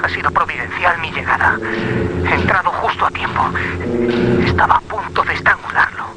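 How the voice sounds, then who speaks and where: A man's voice: fairly high pitch, lively intonation, a little fast, lightly acted. An actor playing a shaken, agitated male witness to horror in a radio drama. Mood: shaken, urgent. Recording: very noisy radio drama recording.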